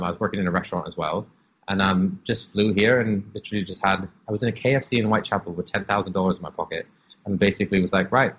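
Man in his thirties, interview guest. Very low, breathy voice. Candid, reflective storyteller. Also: a bit bright, low-quality recording. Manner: open and reflective, with frequent "um" and "like".

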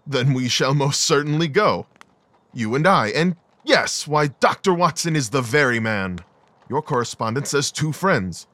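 A man playing a brilliant, coolly rational gentleman detective in an audio drama, speaking in a precise, clipped, analytical way. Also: mid-range voice, lively intonation, unhurried, dry recording. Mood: keen, decisive.

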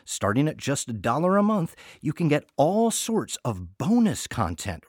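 A man's voice: on the high side, dry recording, clean studio sound. A man hosting a podcast in a chatty scripted welcome, with wry, playful asides. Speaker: artistic director and podcast host.

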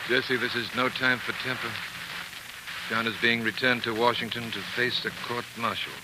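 An actor playing a careworn, protective father of a grown daughter, speaking gravely and with measured hesitation in 1940s radio drama style. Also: mid-range voice, level tone, lightly acted. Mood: cautioning. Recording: noisy old 1940s radio recording.

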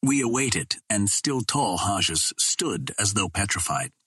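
A man's voice: on the low side, lively intonation, takes it slowly, sharp tone, husky, dry recording, full character voice. A man voicing a cold, hard alien chieftain in an audiobook, speaking formally as he challenges authority. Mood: cold, stern.

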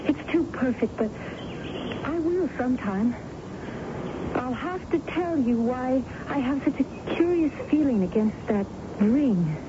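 A woman's voice: high-pitched, expressive, measured pace. An actress playing a wistful, hesitant young woman in an earnest radio drama style. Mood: uneasy, earnest. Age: young adult. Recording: muffled, noisy old radio broadcast.

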